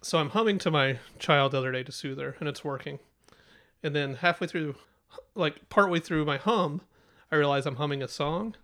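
Male co-host, young, relaxed storyteller, slightly high voice. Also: moderate pace. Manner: laid-back storyteller, chuckling. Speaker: podcast co-host. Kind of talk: casual chat.

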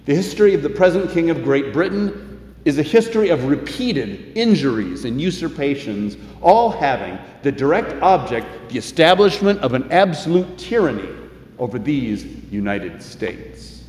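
An older man preaching a sermon in measured tones, slowing down for emphasis, in a church sanctuary. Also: on the high side, very animated, darkish tone. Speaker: pastor. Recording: echoey hall, faint room noise.

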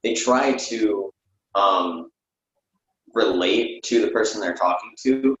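Male playwright in casual discussion, deep voice, hesitant phrasing, roomy sound. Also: fairly bright tone. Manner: hesitant, halting. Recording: muffled, echoey room audio.

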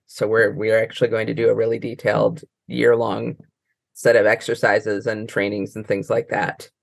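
A woman's voice: a bass voice, gravelly, no room sound. A woman answering informally as a librarian guest in an interview, circling through her explanations with many false starts.

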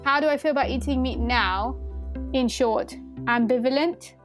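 A woman's voice: sits high, moderate pace, soft background music. A woman interviewee describing her feelings candidly and reflectively.

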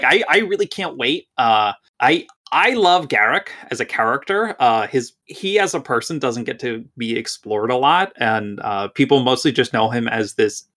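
A man co-hosting a casual podcast discussion, speaking enthusiastically and haltingly, often doubling his words. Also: medium pitch, fairly bright tone. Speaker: podcast co-host.

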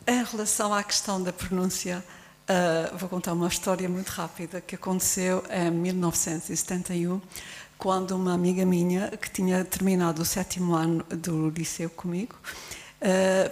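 A woman in her sixties from the audience, telling a short story in a steady, orderly way. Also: fairly low pitch, moderate pace. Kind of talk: recounting a story.

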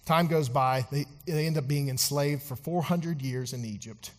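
A man's voice: fairly high pitch, normal speed. A man preaching a sermon in a church, talking conversationally with fillers and asides to the congregation. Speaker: pastor. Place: church sanctuary. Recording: long hall reverb from a church.

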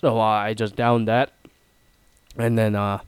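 A man's voice: lowish voice, little pitch movement, unhurried, smooth, no room sound. A man hosting a casual solo talk show, rambling and self-mocking, with a nasal, stuffy voice and a throat that is half gone. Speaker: podcast host.

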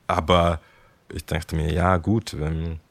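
A young man with a very low, deep voice tells stories in a loose, chatty way. The sound is close and dry, with no room around it. He laughs now and then while he talks. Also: little pitch movement, slow, gravelly, no echo. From Ghana. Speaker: guest, skate shop worker. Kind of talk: casual interview storytelling.

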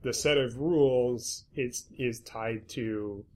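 A man co-hosting a podcast in casual chat, halting and repeating words as he searches for his point. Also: medium pitch. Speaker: podcast co-host.

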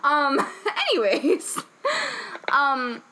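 A woman's voice: high voice, sing-song, drawn-out words, bright, forceful tone, low-quality recording. A woman from Massachusetts with a New England accent, hosting a casual podcast chat, blunt and swearing freely. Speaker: podcast host.

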